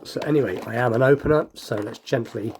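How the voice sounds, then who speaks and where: Male hobby vlogger, mid-pitched, a bit nasal with a slight cold, informal and thinking out loud. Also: fast talker, background noise. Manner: rambling, thinking aloud. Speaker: hobby unboxing video host. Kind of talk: casual chatty unboxing commentary.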